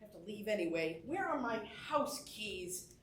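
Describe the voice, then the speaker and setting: An actress playing a grand, demanding stage star, irritable and complaining, in a musical theatre reading. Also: female voice, medium pitch, very animated, normal speed, echoey room, distant mic, a little hiss or hum, close to an everyday voice. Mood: irritated, imperious. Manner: imperious, complaining.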